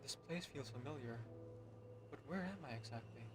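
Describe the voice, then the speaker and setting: Man streaming over loud game music. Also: mid-range voice, lively intonation, normal speed. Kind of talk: reading and commenting on a game playthrough.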